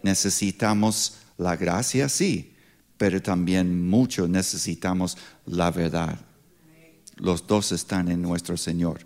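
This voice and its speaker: A man in his sixties with an American accent, a non-native speaker with English as first language, preaching informally as a missionary in halting speech with grammatical slips. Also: low voice, steady tone, a little mellow. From Pittsburgh, United States. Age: 60s. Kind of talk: sermon with informal introduction.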